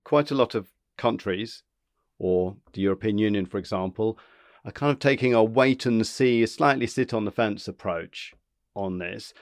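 Low-voiced male reporter moderating. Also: moderate pace, no room sound, clean studio sound. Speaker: science reporter host. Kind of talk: moderating a discussion.

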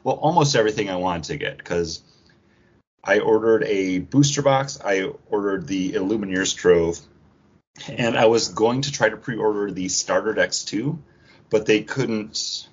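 A man with a lowish, rough-edged husky voice co-hosts a podcast. He talks casually, like an enthusiastic fan sharing news. The sound is a bit dull and lo-fi. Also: unhurried. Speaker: podcast co-host. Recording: dull lo-fi recording.

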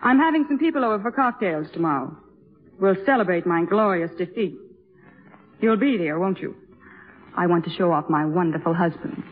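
An actress playing a bitter, brittle young wife in a 1950s radio drama. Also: female voice, fairly low pitch, lively intonation, normal speed, lightly acted. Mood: brittle, airy. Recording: muffled vintage radio broadcast.

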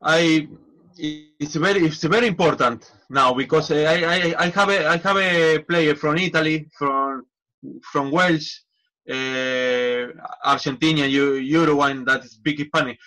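A man, a football coach, talking in a casual interview in halting non-native English with many restarts. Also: a little high, sharp tone, rough recording.

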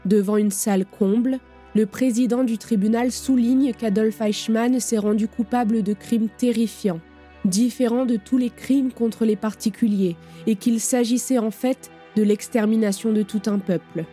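A woman narrates the events in a scripted documentary manner, her voice fairly high yet mellow and warm. Her delivery is clear, even and factual, with faint music beneath. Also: normal speed.

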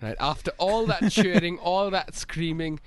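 Hoarse man running a radio quiz. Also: sits high, unhurried, dry recording. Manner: playful, laughing teasing. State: hoarse, lost voice. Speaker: quizmaster. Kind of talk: radio show banter. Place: radio studio.

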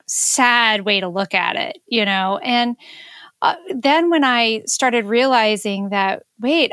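A woman's voice: medium pitch, a bit bright, dry recording. A woman in a casual, reflective chat, speaking earnestly and feeling her way through her phrases.

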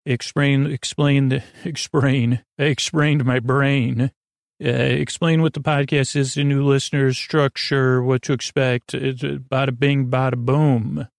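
A man hosting a bedtime podcast in a halting, meandering monologue that keeps drifting into tangents, with a creaky, gravelly voice. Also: mid-range voice, level tone, no room sound, studio quality. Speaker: podcast host.

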